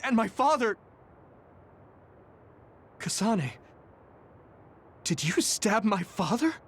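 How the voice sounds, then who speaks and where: A man's voice: high voice, big pitch swings, takes it slowly, slight background noise, heavily acted. A man playing an earnest, distraught teenage boy soldier in a dramatic video game, pleading and emotional. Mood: bewildered, hurt.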